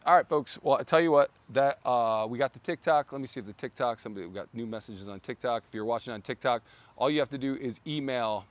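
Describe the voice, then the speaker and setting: A man hosting a casual brand livestream outdoors, rambling with many fillers and restarts, his voice going hoarse. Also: medium pitch, quick pace, no echo. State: losing his voice. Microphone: phone.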